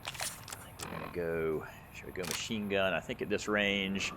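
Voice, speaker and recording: A man's voice: sits low, fairly even intonation, normal speed, creaky, background noise. A man giving casual gameplay commentary as a channel host, rambling and thinking aloud.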